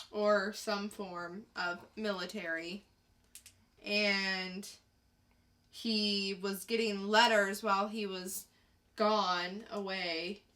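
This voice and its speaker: Woman podcast cohost, bright clear-cut voice, takes her time, casual chatty storytelling. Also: mid-range voice. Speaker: podcast co-host.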